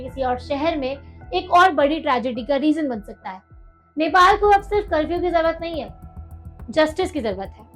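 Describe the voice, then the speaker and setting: High-pitched Indian woman news host. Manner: dramatic, emphatic, posing rhetorical questions. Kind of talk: scripted news explainer narration. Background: music bed.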